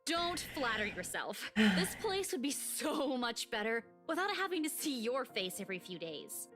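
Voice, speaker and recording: A woman's voice: a little high, big pitch swings, moderate pace, a bit bright. An actress playing a feisty, pouting young woman who scolds a friend in a visual novel game, with music behind her. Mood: scolding, teasing. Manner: defensive, scolding banter.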